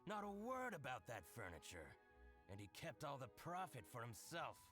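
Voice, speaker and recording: An actor playing a spiteful, petty merchant in a video game, sneering about a rival. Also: male voice, wildly swooping pitch, moderate pace, sharp tone, soft background music. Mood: gloating. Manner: sneering, swings from high to low. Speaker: game character.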